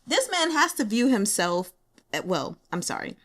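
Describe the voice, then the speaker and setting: A woman's voice: medium pitch, moderate pace. Chatty, giggly woman reacting to a novel. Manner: chatty and animated, laughs often, acts out her own reactions; carefully spells out a Mandarin phrase syllable by syllable. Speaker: audiobook reader sharing chapter notes. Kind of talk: casual chat.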